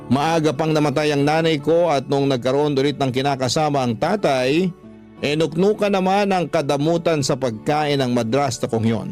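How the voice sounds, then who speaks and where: Casting: male radio drama narrator, medium-pitched, reading first-person story script over a music bed. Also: darkish tone. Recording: music under the voice.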